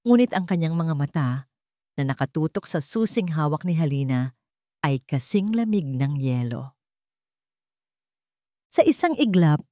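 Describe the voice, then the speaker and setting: A woman's voice: deep voice, no room sound, clean studio sound. A woman reading a suspenseful story as a scripted, dramatic narration.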